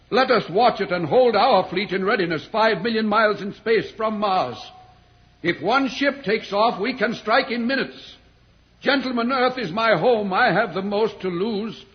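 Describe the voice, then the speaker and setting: An actor playing an earnest, reasoning professor from Earth in a vintage radio serial, pleading formally. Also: male voice, high voice, moderate pace, close to an everyday voice. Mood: earnest, imploring. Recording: dull old radio recording.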